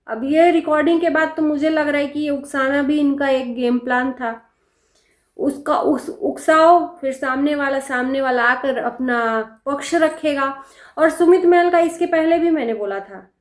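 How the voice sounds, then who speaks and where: A woman giving casual, opinionated commentary in a pointed manner, with rhetorical questions and repeated phrases. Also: sits high. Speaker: reaction video host. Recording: echoey, reverberant room.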